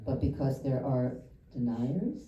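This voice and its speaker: A woman moderating a film Q&A, speaking in a measured way with occasional pauses. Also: a bass voice, sing-song, mellow, highs cut off, slight background noise. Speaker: festival director moderating the Q&A. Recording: echoey theater from far off.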